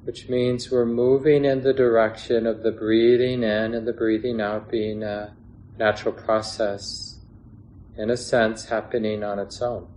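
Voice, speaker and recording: A man teaching a guided meditation in a gentle, unhurried way, pausing between short phrases. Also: on the low side, level tone, slight background noise, rough recording. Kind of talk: guided meditation instruction, unscripted.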